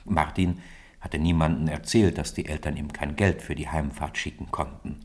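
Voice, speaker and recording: Low-voiced man narrating a story. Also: steady tone, normal speed. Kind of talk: scripted story narration for radio play.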